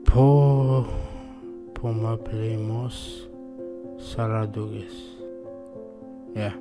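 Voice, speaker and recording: A man, hosting a casual music reaction from a small room at home into a close desk mic, speaking halting English and repeating exclamations. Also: on the low side, steady tone. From Morocco. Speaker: reaction video host. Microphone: desk mic close to face. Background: music from the reacted video.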